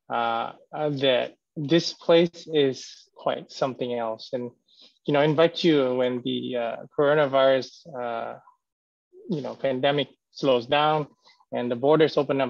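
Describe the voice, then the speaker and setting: Gravelly man from Singapore on a call. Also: mid-range voice, a little mellow, dry recording, muffled recording. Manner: earnest, emphatic, with fillers. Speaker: local business development speaker. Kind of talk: persuasive meeting talk. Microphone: video call.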